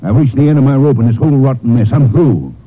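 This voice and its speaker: An actor in an old radio drama playing a gruff, blunt older Texas rancher stepfather. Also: mid-range voice, lively intonation, fast. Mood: angry, fed up. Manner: blunt, scolding, folksy. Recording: muffled narrow-band old radio recording.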